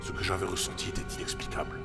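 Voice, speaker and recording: An actor playing a bitter, vengeful scientist villain, brooding and theatrical, in a dramatic audio fiction. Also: male voice, rapid-fire, close to an everyday voice. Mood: brooding, grandiose. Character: bitter vengeful male villain, rejected scientist turned illusionist. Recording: loud music behind the voice.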